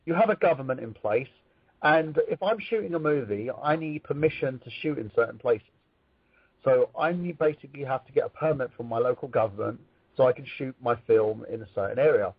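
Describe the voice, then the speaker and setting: A man's voice: mid-range voice, slightly rough, no room sound. A man on a casual movie podcast, speaking bluntly and swearing freely. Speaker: show regular. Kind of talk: casual chat. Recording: dull, dry lo-fi sound.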